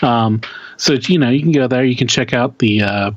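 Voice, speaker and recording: A man's voice: mid-range voice, moderate pace, no room sound, lo-fi sound. A man co-hosting a podcast in casual chat, speaking hesitantly and often repeating his words. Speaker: podcast co-host.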